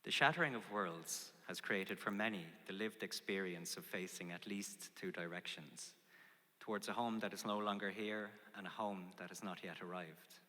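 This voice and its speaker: A young man giving a slow, measured, poetic spoken-word performance. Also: low-pitched. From Ireland. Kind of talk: reflective spoken-word performance over music. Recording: loud music playing under the voice.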